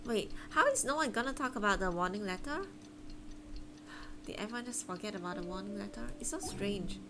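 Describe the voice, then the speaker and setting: A woman's voice: medium pitch, brisk, noisy background. A woman chatting casually as she livestreams a game, rambling and hesitant as she explains things. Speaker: streamer playing a story game.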